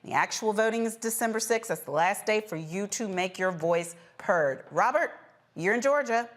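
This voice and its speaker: A woman's voice: mid-range voice, expressive, normal speed. A woman hosting a political news panel in an informal, emphatic, conversational way. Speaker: panel show host.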